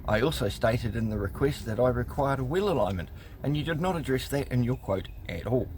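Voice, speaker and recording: A man's voice: medium pitch, lively intonation, fairly quick, darkish tone, a little hiss or hum. A man, telling a customer complaint story in a wry, exasperated way and reading emails aloud with lots of ums. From New Zealand. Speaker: consumer rights vlogger.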